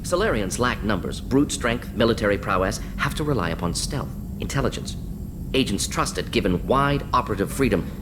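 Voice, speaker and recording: An actor playing a brisk, eager alien scientist in a video game, talking in clipped, telegraphic, analytical bursts. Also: male voice, lowish voice, expressive, noisy background, lightly acted. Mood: brisk, clinical. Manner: clipped telegraphic sentences, rapid-fire, analytical. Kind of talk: video game character dialogue. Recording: game audio over humming ambience.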